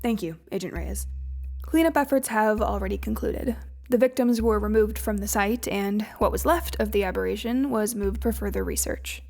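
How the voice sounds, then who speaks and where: A woman playing a brisk, wry female agency official running a formal debriefing in an audio drama. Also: mid-range voice, creaky, darkish tone. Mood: formal, businesslike.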